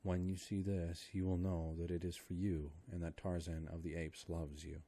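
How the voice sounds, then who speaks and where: A man's voice: deep voice, little pitch movement, moderate pace, dark tone, dry recording. A man reading out the simple, blunt declarations of an earnest young man raised in the jungle.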